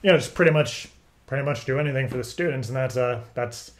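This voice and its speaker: A man with a medium-pitched voice talks through a casual interview-style call. He rambles, stammering and halting as he works toward each point. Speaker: prank caller. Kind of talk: casual phone conversation posing as interviewer.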